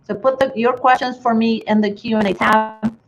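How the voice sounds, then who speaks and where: A woman's voice: fairly high pitch, steady tone, moderate pace, a little hiss or hum, low-quality recording. A woman from Chile with a slight Chilean Spanish accent, presenting a bookkeeping webinar in a friendly way with many fillers. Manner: friendly, filler-heavy, restarts often.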